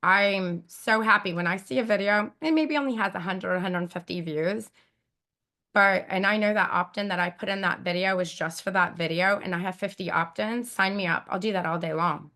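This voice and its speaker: Raspy, squeaky-voiced woman giving advice. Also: normal speed, pushed and bright. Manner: chatty, lots of "like" fillers, emphatic repetition. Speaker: guest YouTube expert. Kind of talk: casual interview advice.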